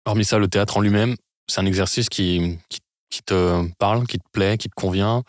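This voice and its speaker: Easygoing interview host, lowish voice, joking and relaxed, dry close sound. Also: male voice, normal speed, no room sound. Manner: relaxed, joking, asks loose questions. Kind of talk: casual interview chat.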